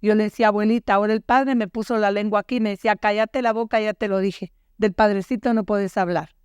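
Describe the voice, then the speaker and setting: An older woman from Guatemala, recounting her own life story in a quiet, measured, halting way. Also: mid-range voice, fairly even intonation, smooth, no echo, clean studio sound. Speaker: guest survivor. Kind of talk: personal testimony.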